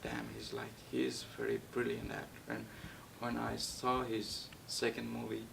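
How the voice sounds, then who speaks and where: A young man from Nepal, a guest actor, answering interview questions in a halting way with many fillers and restarts. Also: very animated, gravelly. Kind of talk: casual interview. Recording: distant mic with faint rumble.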